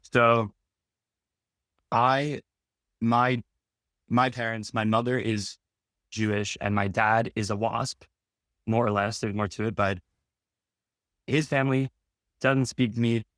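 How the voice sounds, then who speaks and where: A man's voice: mid-range voice, little pitch movement, slow pace, pauses often, a bit bright, no echo. A man who talks as a podcast guest in a blunt, wry, matter-of-fact way. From Brooklyn, New York. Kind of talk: casual frank conversation.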